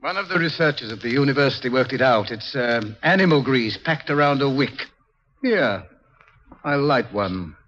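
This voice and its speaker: A dull, lo-fi vintage radio recording of a man acting a composed male scientist. He lectures deliberately and a little dryly, with wide swings of pitch in the theatrical 1950s manner. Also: animated, fairly bright tone, a little hiss or hum, close to an everyday voice. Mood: musing, explaining.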